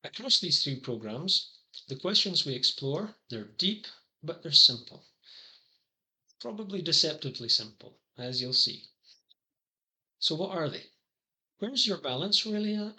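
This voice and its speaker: A man's voice: fairly high pitch, sing-song, measured pace, pauses often, bright, forceful tone, rough recording. A middle-aged Scottish man presenting his psychology consultancy in a scripted monologue, earnest with wry, dry asides. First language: English.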